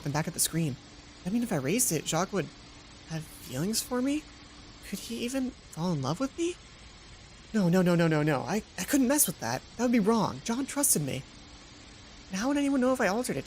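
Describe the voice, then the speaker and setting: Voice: mid-range voice, slight background noise, lightly acted. A let's player voicing a lovestruck, nervous young woman who narrates a visual novel with hesitant, dramatic delivery. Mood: tempted, longing, then conflicted. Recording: music behind the voice.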